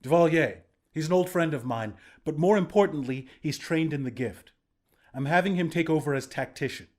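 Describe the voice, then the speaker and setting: A man playing a higher-voiced, earnest young-rebellion commander. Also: moderate pace, a little mellow, natural voice. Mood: businesslike. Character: battle-hardened resistance commander, protective, blunt but encouraging. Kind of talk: video game character voice lines.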